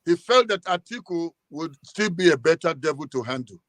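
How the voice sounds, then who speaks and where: A Nigerian-accented man speaking as a guest commentator in a live political discussion, emphatic, halting and repeating himself as he argues. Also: fairly high pitch, animated, a bit bright, dry recording.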